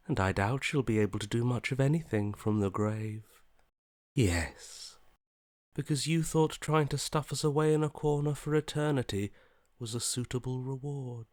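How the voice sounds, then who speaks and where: Cold blasé game character, slow and languid, swoopy pitch, really dry close sound. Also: male voice, darkish tone, dry recording. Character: detached, morbidly curious male inner voice, coolly indifferent. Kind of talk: video game character voice acting.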